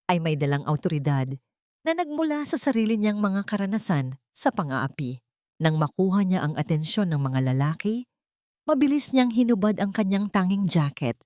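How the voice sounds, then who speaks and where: A woman with a lowish voice tells a dramatic story in slow, measured, somber narration. The sound is close and dry, with no room around it. She reads from a script in a storytelling way. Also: darkish tone, no echo.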